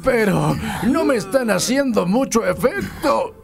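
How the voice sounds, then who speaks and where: Creaky, high-voiced complaining player. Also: male voice, big pitch swings, unhurried, exaggerated voice. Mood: doubtful. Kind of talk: animated cartoon dub. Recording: loud music behind.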